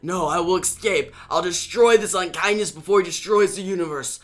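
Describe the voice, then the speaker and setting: A man's voice: high-pitched, a little fast, fairly bright tone, voice pushed up. A man voicing a fierce, defiant ancient antihero from a comic book, with dramatic declarations. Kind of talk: comic book story reenactment.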